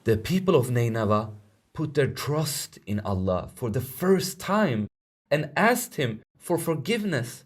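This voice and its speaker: A man narrating a children's storybook in an expressive, deliberate storytelling manner. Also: mid-range voice, husky.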